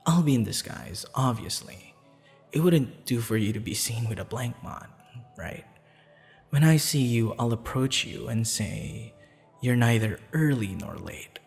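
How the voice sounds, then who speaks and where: Man acting a slow, flirtatious assassin with lively intonation. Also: mid-range voice, vocal fry. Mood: confident, courting. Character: flirtatious, courteous male assassin, smitten and slightly sheepish. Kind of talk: ASMR roleplay, intimate scripted monologue. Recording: long reverb, music behind.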